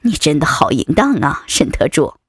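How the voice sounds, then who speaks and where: A woman voicing a domineering, taunting male vice president in an adult audiobook, with a husky, creaky voice and a mocking, commanding manner. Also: deep voice, very animated, normal speed, dry recording, studio quality, pitched below the performer's own voice, heavily acted. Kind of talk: dramatized adult audiobook dialogue.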